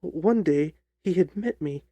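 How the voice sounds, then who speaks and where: Mellow, gravelly male story narrator. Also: a little high, expressive, unhurried, no echo. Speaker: audiobook narrator.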